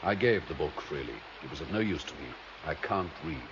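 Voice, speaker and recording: A man's voice: rumbling low, animated, a little fast. An actor playing an anxious but resolute father, hesitant and solemn, in a 1970s sci-fi radio drama. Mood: solemn, resigned. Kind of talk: 1970s science fiction radio drama acting. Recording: dull vintage radio recording, noisy stream background.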